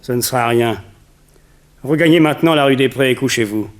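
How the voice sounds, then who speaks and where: An actor playing a cold, domineering villain who commands and interrogates in a 1960s radio drama. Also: male voice, mid-range voice, a little fast, close to an everyday voice. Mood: commanding. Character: cold domineering male villain, a hypnotist master giving orders.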